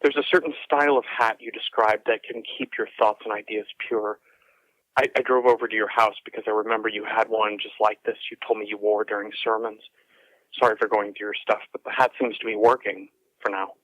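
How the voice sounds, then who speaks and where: An actor playing an anxious, apologetic researcher leaving an urgent voicemail in an audio drama, heard through a phone filter. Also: male voice, fairly low pitch, flat delivery, brisk, fairly bright tone, no echo. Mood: earnest, worried. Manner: apologetic, hesitant, earnest. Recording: dull, dry telephone message sound.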